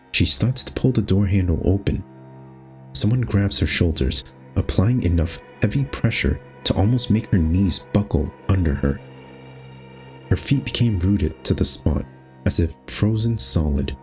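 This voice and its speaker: Deep-voiced man narrating a horror story. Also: lively intonation, unhurried, darkish tone, faint music behind. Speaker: host reading a visual novel aloud. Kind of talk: expressive scripted story narration.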